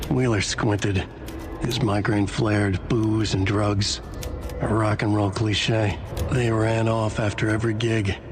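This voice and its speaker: An actor playing a brooding, solemn narrator of a horror story in a video game, with measured noir-like delivery. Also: male voice, fairly low pitch, background noise, close to an everyday voice. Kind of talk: scripted dramatic narration. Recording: music behind the voice.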